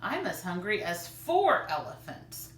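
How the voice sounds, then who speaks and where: A woman's voice: lowish voice, huge pitch swings, measured pace, fairly bright tone, exaggerated voice. A woman voicing a hungry, jovial old grandfather in a children's storybook, with hearty declarations. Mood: groaning hunger. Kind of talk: children's storybook read-aloud. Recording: roomy, distant mic, faint noise.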